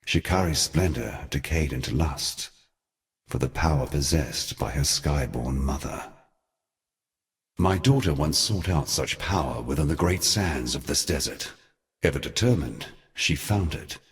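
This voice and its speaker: An ancient fallen deity with an extremely low voice. He speaks like a weary, eloquent schemer from a fantasy game, every phrase grave, archaic and measured. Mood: solemn sorrow. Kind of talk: theatrical scripted fantasy game dialogue.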